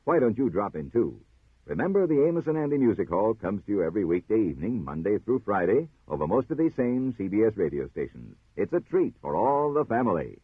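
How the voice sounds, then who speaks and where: A man's voice: lowish voice, sing-song, fairly quick, husky, dry recording. A man announcing and promoting programs in a polished 1950s radio style. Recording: narrow-band 1950s radio recording.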